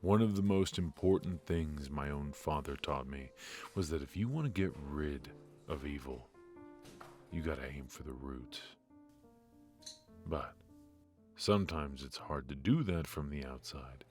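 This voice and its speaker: Man playing a very deep, slow-talking mafia boss. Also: lively intonation, darkish tone, faint music behind. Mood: resolute, reflective. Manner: measured, weary, confiding, with heavy pauses. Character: brooding, ruthless male mafia boss with a hidden purpose. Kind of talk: intimate ASMR roleplay, noir audio drama.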